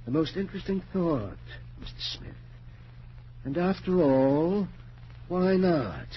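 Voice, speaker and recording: A sinister old gentleman collector speaks with suave, pompous relish and sly menace. It is 1940s radio drama, muffled and clearly noisy. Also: fairly high pitch, very animated, takes it slowly. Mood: sly, musing. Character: sinister, genteel old collector of murder relics, gloating and sly.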